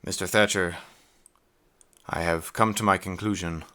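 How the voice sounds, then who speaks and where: A deep-voiced, scholarly professor from a 1920s horror audio play, acted by a man. He is grave and formal, choosing old-fashioned words with measured care. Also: fairly even intonation, bright, forceful tone. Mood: grave, concerned. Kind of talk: dramatic audio play acting and narration.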